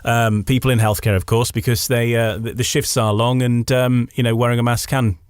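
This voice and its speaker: A man's voice: mid-range voice, level tone, fairly quick, smooth, dry recording, studio quality. A man hosting a radio interview, reading out questions to his guest.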